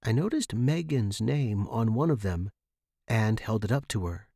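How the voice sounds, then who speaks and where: A man's voice: on the low side, expressive, measured pace, gravelly, mellow, no echo, studio quality. A man hosting a fiction podcast and narrating scripted horror in a dramatic style.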